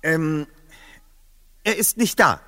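Radio play role: teenage detective leader, composed, articulate, self-assured, lively pitch movement. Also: male voice, slow, no room sound, studio quality, full character voice. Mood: guarded, polite. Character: confident, quick-thinking teenage boy detective, the leader. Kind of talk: German radio play acting.

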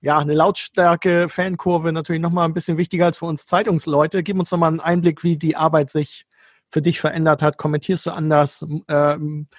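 Male journalist host, fairly high voice, dry sound, hesitant questioner. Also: level tone, brisk, no room sound. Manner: hesitant, many fillers. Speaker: podcast host, newspaper journalist. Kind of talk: interview questions.

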